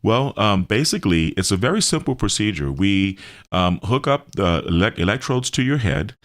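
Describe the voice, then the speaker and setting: A man's voice: fairly low pitch, big pitch swings, normal speed, no echo, studio quality. An American man talking on a radio health show as its host, explaining things step by step in simple terms.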